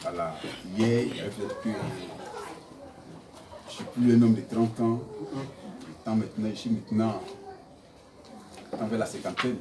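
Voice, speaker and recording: A man's voice: on the low side, fairly even intonation, measured pace, long pauses. Pastor in his forties, echoey church. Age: 40s. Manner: punctuates phrases with alleluia and amen. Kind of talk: sermon. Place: church hall. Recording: echoey hall, distant mic, noisy.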